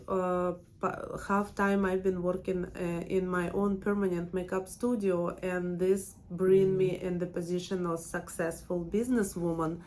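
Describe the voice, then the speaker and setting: A woman in her thirties from Siberia with a strong Russian accent, chatting casually in a personal vlog and laughing often. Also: fairly low pitch. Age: 30s. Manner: chatty and warm, laughs often, many fillers. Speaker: permanent makeup trainer and channel host.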